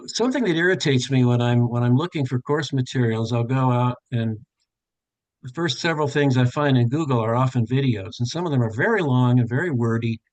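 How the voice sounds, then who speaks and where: A man's voice: mid-range voice, a little mellow, no echo, low-quality recording. Older man thinking aloud on a video call. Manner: thinks aloud, narrating each step with frequent fillers and self-corrections. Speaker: tutor trainer. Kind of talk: live online workshop demo. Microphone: webcam on a video call.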